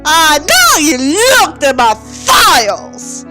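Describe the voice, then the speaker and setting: A woman's voice: high-pitched, wildly swooping pitch, very slow, sharp tone, faint music behind, heavily acted. A woman voicing a bitter, self-righteous teenage boy in an overwrought, mock-dramatic audiobook reading, stretching words for emphasis. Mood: outraged.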